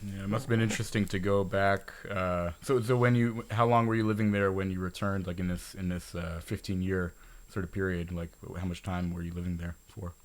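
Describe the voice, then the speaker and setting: A man co-hosting a casual interview over a video call, asking relaxed, rambling follow-up questions. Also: low voice, fairly quick. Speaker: co-host.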